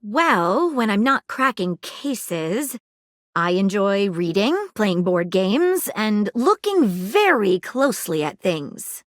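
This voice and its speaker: A woman's voice: medium pitch, big pitch swings, takes it slowly, dry recording, clean studio sound. A woman voicing an eager, inquisitive young female detective in a video game, earnest and full of facts. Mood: chatty, curious. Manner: earnest, inquisitive, fact-loving. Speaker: voice actor. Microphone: studio mic, dry booth.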